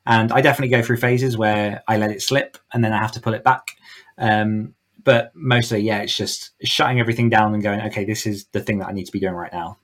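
Low-voiced man, reflective. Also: fairly even intonation, fairly quick, fairly bright tone. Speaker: podcast guest, author coach. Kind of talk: casual interview chat.

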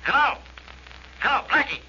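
An actor playing a blustering, excitable American police inspector in 1940s radio drama, insistent and grudging. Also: male voice, very high voice, normal speed, full character voice. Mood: excited, grudging. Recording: noisy, tinny old radio recording.

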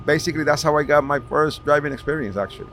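A middle-aged man from New City, reminiscing casually and haltingly as a podcast guest. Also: on the high side, fairly bright tone. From New York City, Washington Heights. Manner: halting, repeats words. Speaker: podcast guest, veteran street racer. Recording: clear background noise of racing event. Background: vehicle and event noise.

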